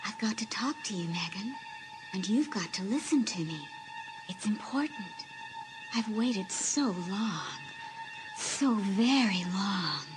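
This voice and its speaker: An actress playing the ghost of a sixteen-year-old girl, speaking slowly in a hushed, breathy, pleading and wistful way in an audiobook drama. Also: mid-range voice, lively intonation, over music. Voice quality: breathy, whispery. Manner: slow, hushed, earnest.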